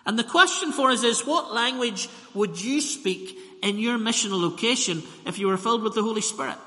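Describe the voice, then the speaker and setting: Man, ballymena accent, high bright voice, sermon storytelling, long church reverb. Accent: Northern Irish, Ballymena. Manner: storytelling, slows for emphasis, repeats phrases. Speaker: minister.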